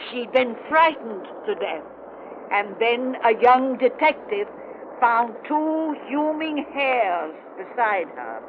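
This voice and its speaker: On a muffled, noisy old radio recording, an ancient witch tells a spooky fireside yarn. She speaks very slowly in a folksy way, savoring every word with eerie relish. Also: on the high side. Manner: folksy, slow, cackling storyteller. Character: ancient cackling witch spinning spooky yarns by the fire. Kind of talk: 1930s radio horror hosting.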